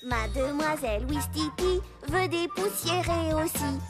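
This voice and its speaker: A woman's voice: high-pitched, expressive, measured pace. A woman performing a playful, childlike singer in a sing-song children's cartoon number. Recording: music behind the voice.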